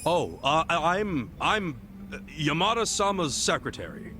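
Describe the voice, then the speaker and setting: Male street-smart sidekick, game cutscene; expressive, skeptical, dry wit and plain slangy talk. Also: a little high, unhurried, background noise. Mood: flustered. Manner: casual, blunt, wry. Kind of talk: video game cutscene dialogue.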